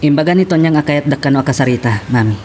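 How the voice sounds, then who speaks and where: A woman's voice: sits low, expressive, very fast. An actress with an Ilocano accent playing an exasperated daughter pleading with her mother in a radio drama. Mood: pleading, firm.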